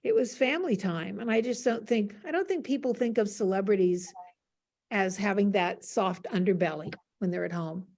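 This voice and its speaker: A woman's voice: mid-range voice, moderate pace, a little mellow. An older woman speaking casually and playfully as she shares anecdotes on a panel, with a chatty, self-deprecating manner. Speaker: panel guest, university professor. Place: classroom. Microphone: video call.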